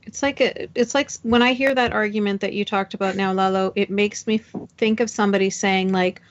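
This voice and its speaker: A woman with a mid-pitched voice, speaking as part of a panel. She is informal and conversational, making her case as if thinking it through with friends. The audio is a little dull and lo-fi. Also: fairly even intonation, fairly quick, a little hiss or hum. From Canada. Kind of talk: casual conversational commentary. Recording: dull, lo-fi sound.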